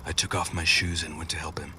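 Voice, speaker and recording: A man's voice: a bass voice, level tone, quick pace, pushed and bright, recorded from a distance, slight background noise. A man narrating a scripted first-person scary story as a horror storyteller.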